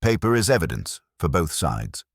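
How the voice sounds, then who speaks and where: A man's voice: deep voice, sing-song, moderate pace, slightly rough, no echo, clean studio sound, full character voice. A man voicing a prim, guarded small-town woman in an audiobook mystery, with clipped, proper and tartly reserved speech. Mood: curt, reserved.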